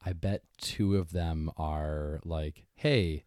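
A man co-hosting a podcast with a very deep, dark and mellow voice, a little scratchy after losing it. The sound is dry and close, and he throws in dry, teasing remarks. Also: fairly even intonation, slow, no echo. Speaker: podcast co-host. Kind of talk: casual podcast chat.

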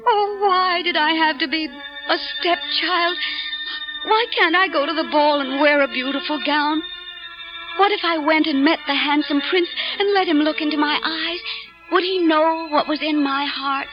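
An actress playing a meek, soft-spoken young servant girl in an old radio play, pleading and sad. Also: high-pitched, moderate pace, lightly acted. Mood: wistful. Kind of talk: 1940s radio acting. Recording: dull lo-fi radio with music.

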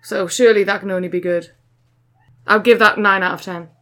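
A woman's voice: medium pitch, steady tone, fairly quick, bright, forceful tone. A woman hosting a podcast, joking her way through card art in casual chat. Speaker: podcast host.

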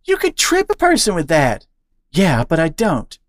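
A woman voicing a steady, matter-of-fact female otter who runs a grocery store. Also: on the low side, unhurried, no room sound, clean studio sound, voice pushed up. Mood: dry. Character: dry, steady female otter shop owner.

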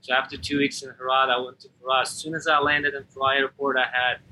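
A young man, a podcast guest telling his life story in an earnest, halting way. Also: medium pitch, level tone, sharp tone, slight background noise, poor audio. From Afghanistan. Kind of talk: personal storytelling.

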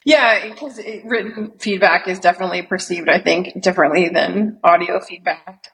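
A woman's voice: fairly low pitch, normal speed, gravelly, a bit bright, poor audio. A woman speaking frankly as a guest in a casual podcast conversation.

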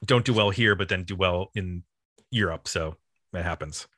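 Man hosting, bright dry voice. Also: low-pitched, dry recording. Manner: halting, conversational, thinks aloud. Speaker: podcast host. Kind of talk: casual music album discussion.

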